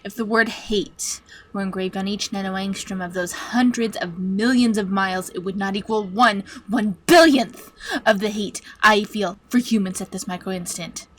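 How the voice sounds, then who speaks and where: A woman's voice: mid-range voice, fairly bright tone, slight background noise, lightly acted. A woman playing a hateful, sadistic supercomputer in a video game monologue, taunting human captives with theatrical menace and drops into whispers. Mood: seething hatred. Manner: theatrical, menacing, drawn-out delivery dropping into whispers.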